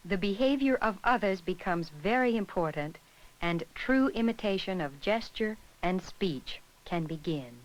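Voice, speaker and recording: A woman reading scripted narration for an educational film in a measured, instructional way. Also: mid-range voice, lively intonation, rough recording.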